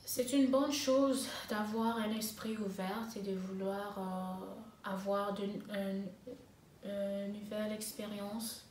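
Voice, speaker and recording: A young woman in her twenties talks in a flat, even tone. She pauses often and restarts her sentences as she answers questions. The room is echoey, with faint ambient noise behind her. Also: mid-range voice, distant mic. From Congo (DRC), raised in South Africa. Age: 20s. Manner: hesitant, many fillers and restarts. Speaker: interviewee, master's student. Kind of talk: interview answers.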